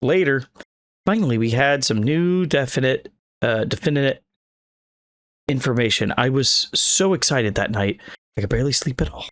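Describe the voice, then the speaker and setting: A man's voice: mid-range voice, lively intonation, normal speed, fairly bright tone. A man doing a casual video game playthrough, reading the text aloud with stumbles and wry asides. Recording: game music playing behind the voice. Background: video game music.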